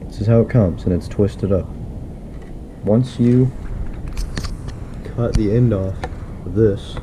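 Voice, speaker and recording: A man casually walking through a do-it-yourself wiring job, relaxed and rambling with plenty of fillers. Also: lowish voice, noisy background. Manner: laid-back, rambling, filler-heavy explaining. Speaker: DIY video host. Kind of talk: casual how-to walkthrough. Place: inside a pickup truck and around it, at night.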